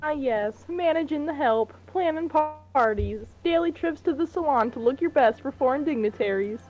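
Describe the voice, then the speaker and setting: A woman's voice: high-pitched, animated, normal speed, lo-fi sound, over music. A woman playing a genteel, old-fashioned politician's wife in a game, with a polite, gracious manner. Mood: approving, snide.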